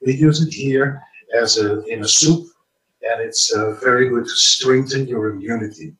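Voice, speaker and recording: Curaçaoan retreat organizer, man, measured delivery with fillers, heard from across an echoey room. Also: mid-range voice, fairly bright tone, distant mic. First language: Papiamento. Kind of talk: interview answers.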